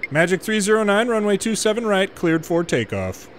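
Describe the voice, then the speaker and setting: A man with a slightly high-pitched voice narrates his airport game. He gives measured controller-style instructions and drops into casual muttered asides, with some ambient noise behind him. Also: big pitch swings. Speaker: gamer and former air traffic controller. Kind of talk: gameplay commentary with air traffic control radio phraseology.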